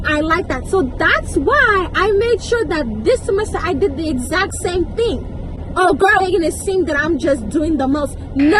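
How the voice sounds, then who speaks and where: A young woman with a high voice talks straight to her viewers in a casual storytime rant. She is emphatic and exasperated, repeating phrases for effect. There is clear background noise, like street or car ambience. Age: young adult. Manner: exasperated, emphatic, repeats words and asks rhetorical questions. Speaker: storytime vlogger.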